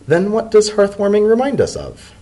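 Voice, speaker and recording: Man voicing a shrewd, animated female ambassador. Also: high-pitched, moderate pace, pitched above the performer's own voice, exaggerated voice. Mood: probing. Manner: dry, formal, probing questions. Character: mild-toned female ambassador, shrewd, aloof and inquisitive.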